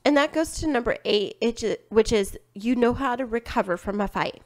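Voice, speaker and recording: A woman's voice: fairly high pitch, normal speed. A young adult woman co-hosting a podcast in casual chat, saying "like" a lot. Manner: chatty, says "like" often. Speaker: podcast co-host. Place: home studio.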